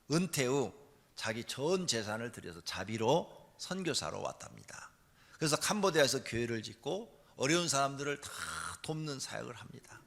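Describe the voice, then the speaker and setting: A man preaching a church sermon as a pastor, emphatic, moving between hushed asides and loud, forceful exhortation. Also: medium pitch. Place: large church hall during a service. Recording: long hall reverb.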